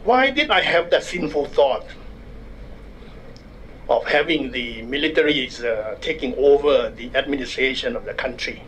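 An older man with a Thai accent, speaking at a desk microphone as a guest speaker at a university public forum, wry and measured with self-deprecating humor. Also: medium pitch, expressive, a bit bright, a little hiss or hum, low-quality recording. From Thailand. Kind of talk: public lecture. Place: university conference room.

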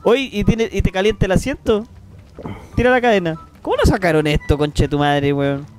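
A young Chilean man with a Chilean accent, chatting casually and loudly on a gaming livestream, swearing freely. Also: high-pitched, moderate pace, faint music behind. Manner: loud, excitable, swears freely. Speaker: streamer.

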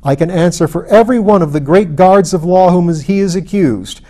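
A man's voice: high voice, expressive, takes it slowly, darkish tone, pitched above the performer's own voice. A man reading a storybook, voicing an earnest defender of order in fervent, oratorical speech. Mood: fervent, indignant.

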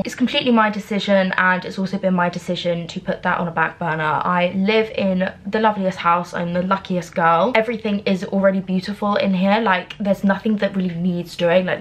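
A British woman in her twenties, chatting candidly to camera while doing her makeup at home, with lots of "like" fillers. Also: medium pitch. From Britain. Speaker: beauty vlogger. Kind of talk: casual talking-to-camera vlog. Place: bedroom at home, indoors.

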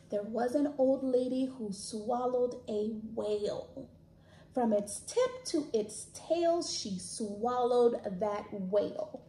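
A woman in her thirties hosting a library storytime, reading aloud to children expressively with dramatic, drawn-out emphasis. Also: on the high side, slight background noise. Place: small indoor room, plain wall. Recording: echoey room.

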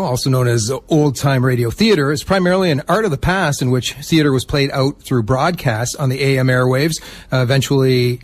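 A man with a medium-pitched voice hosts a radio interview. He talks conversationally, setting up the subject and passing questions along to his guest. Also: husky. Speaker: radio show host and interviewer.

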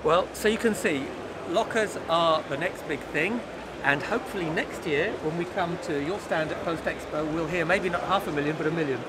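A middle-aged male host with a somewhat raised voice, upbeat and conversational as he leads the interviews. He was recorded in a big exhibition hall. Steady loud noise sits behind him. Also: fairly high pitch, normal speed. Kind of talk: trade-fair interview. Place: busy indoor exhibition hall.

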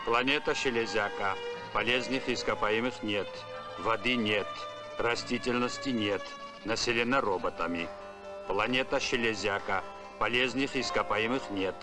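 A man voicing an impassive ship computer in an animated film, reciting data in a clipped, repetitive way. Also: lowish voice, moderate pace, music in the background, lightly acted. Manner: clipped, matter-of-fact, repetitive. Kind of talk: Soviet animated film acting.

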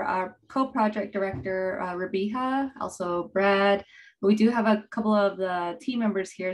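A woman's voice: mid-range voice, poor audio. Upbeat woman hosting a video-call webinar. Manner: upbeat, with frequent fillers and restarts. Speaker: webinar host, project manager. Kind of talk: scripted-leaning webinar presentation.

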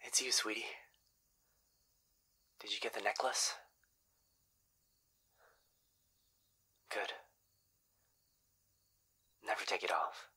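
A caring, anxious young boyfriend, played by a man in an audio roleplay. His low voice is very breathy yet has a sharp, bright edge. He talks gently and hesitantly, often dropping into a whisper. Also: slow, full character voice. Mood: tender, reassuring.

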